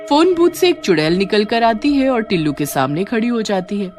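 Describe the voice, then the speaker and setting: A woman's voice: medium pitch, very animated, normal speed, darkish tone, faint music behind. A woman reading scripted horror story narration as the narrator.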